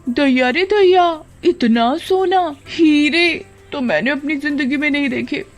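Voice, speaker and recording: An excitable young wife, played by a woman storyteller, stubborn and pleading in a cartoon family drama. Her voice lifts high above an everyday pitch, with music underneath. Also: slow pace. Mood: amazed, stammering.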